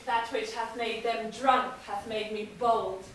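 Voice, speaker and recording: A ruthless, scheming noblewoman played by a woman commands in intense Shakespearean verse, her voice pitched high. She is heard from far across a cavernous, echoing hall, with faint noise underneath. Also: fairly even intonation, measured pace, a bit bright. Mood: hushed, tense. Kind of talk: stage play performance. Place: theatre stage in a large hall. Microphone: distant room mic.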